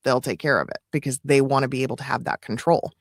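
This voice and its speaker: A woman's voice: very deep voice, fairly even intonation, quick pace, breathy edge, no room sound, studio quality. A woman co-hosting a live stream as a voice-over coach, giving casual advice in an explanatory, emphatic way. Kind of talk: casual advisory chat.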